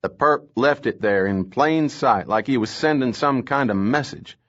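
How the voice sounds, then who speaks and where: A man's voice: mid-range voice, normal speed, fairly bright tone, close to an everyday voice. A man reading an audiobook, voicing an irritable, sleepy male police lieutenant with a slurred, grumbling manner. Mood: grimly talkative. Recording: slightly dull lo-fi audio.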